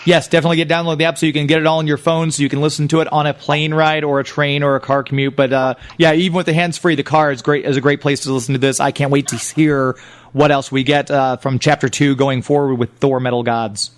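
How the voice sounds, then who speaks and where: A man with a slightly high voice hosts a radio show. He talks in a casual, friendly way, like someone chatting with a guest and his listeners. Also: level tone, fast talker. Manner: friendly, complimentary. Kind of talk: casual interview and show sign-off.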